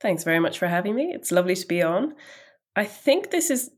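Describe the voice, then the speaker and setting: A woman guesting on a podcast, chatty and laughing between thoughts, a little raspy from a summer cold. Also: on the low side, big pitch swings, brisk. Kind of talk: casual conversation.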